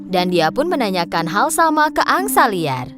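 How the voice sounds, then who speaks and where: A woman narrating a children's story in an expressive, scripted storybook style. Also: mid-range voice, moderate pace. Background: music.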